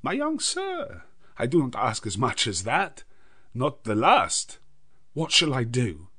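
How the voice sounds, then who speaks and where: A learned old Dutch professor in a gothic audiobook, acted by a man. He is grave and commanding yet kindly. His speech is emphatic and foreign in its phrasing, pitched up from the narration. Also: huge pitch swings, slow pace, sharp tone, breathy edge, heavily acted. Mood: spirited, hearty.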